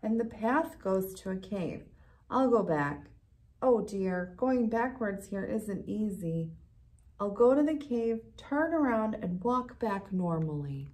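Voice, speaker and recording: A woman voicing a kindly, cheerful male patchwork elephant in a storybook, in a loud, animated reading. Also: medium pitch, slow pace. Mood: worried.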